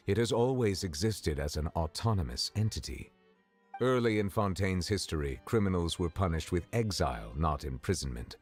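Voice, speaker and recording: Very deep, formal male official. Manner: measured, formal, precise diction. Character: grave, formal male official of high rank, reserved and courteous. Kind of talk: video game voice acting.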